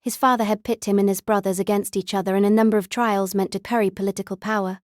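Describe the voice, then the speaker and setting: A woman narrates a fantasy novel as an audiobook. Her voice sits at a medium pitch, and the recording is dry with no room sound. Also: no room sound. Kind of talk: scripted story narration.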